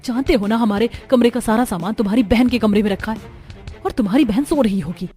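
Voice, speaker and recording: A woman's voice: a little high, quick pace, dark tone, lightly acted. A woman voicing an aggrieved, argumentative young daughter-in-law in a Hindi family cartoon, with a nagging, indignant manner. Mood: annoyed.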